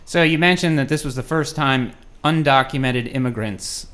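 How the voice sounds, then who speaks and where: A man's voice: medium pitch, normal speed, fairly bright tone. A man interviewing a guest, asking short questions and adding brief prompts of agreement. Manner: brief prompts, frequent "mm-hmm".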